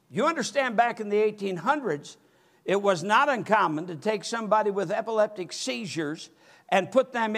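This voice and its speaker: A man's voice: sits high, fairly bright tone. An older man preaching a sermon from a church pulpit, speaking emphatically and gesturing as he goes. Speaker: pastor. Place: church sanctuary with empty pews.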